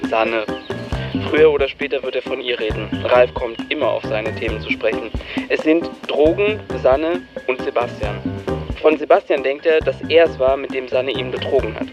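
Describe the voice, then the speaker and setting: A man's voice: medium pitch, lightly acted. An actor playing a wry young man who narrates his own story in a dry, ironic way for radio drama. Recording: dull band-limited sound, music behind.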